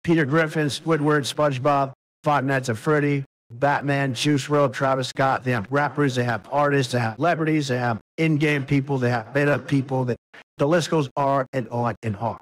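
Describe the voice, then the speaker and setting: A man voicing a male character through an AI voice changer, listing software features. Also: mid-range voice, steady tone, moderate pace. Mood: matter-of-fact.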